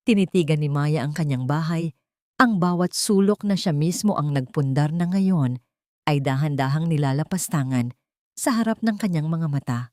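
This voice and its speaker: A woman narrating a dramatic scripted story in a solemn, measured storytelling manner. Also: low-pitched, darkish tone, no room sound, studio quality.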